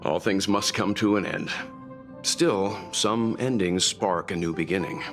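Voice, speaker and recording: A man voicing a calm, fatherly older mentor in a video game, measured and philosophical. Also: low-pitched. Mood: reflective. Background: game music.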